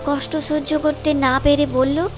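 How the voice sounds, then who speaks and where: A woman's voice: high voice, little pitch movement, a little slow. A woman reading scripted storytelling narration for a moral cartoon. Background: music.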